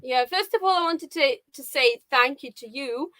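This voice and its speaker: Russian woman guest, 40s, bright higher voice, dry close sound, hesitant with fillers. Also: moderate pace, no echo. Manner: hesitant, with many fillers and restarts. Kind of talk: casual conversation on a live video call. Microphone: video call.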